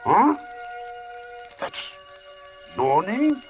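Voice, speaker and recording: An actor playing an amiable, flustered tombstone carver with a halting, bewildered manner in 1940s radio suspense style. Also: male voice, high voice, very animated, heavily acted. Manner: slow, halting, bewildered mumbling. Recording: dull vintage radio recording with music.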